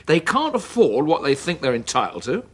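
An actor playing a bullying, sarcastic British husband in a radio drama, blustering and repeating himself, with a husky voice. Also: male voice, a little high, huge pitch swings, moderate pace, exaggerated voice. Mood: boozy, contemptuous. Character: bullying, sneering, hard-drinking husband, sarcastic and resentful.

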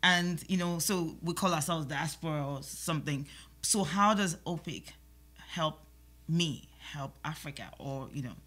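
Bright-voiced woman hosting a radio interview. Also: sits low, a little slow. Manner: chatty, acts out listeners' questions. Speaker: talk show host. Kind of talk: interviewing guests. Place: small radio studio. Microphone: studio mic on stand.

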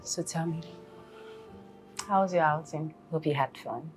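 An actress playing a gentle young wife who speaks softly and pleads for calm. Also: female voice, deep voice, unhurried, faint music behind. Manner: soft-spoken, pleading. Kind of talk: web drama acting.

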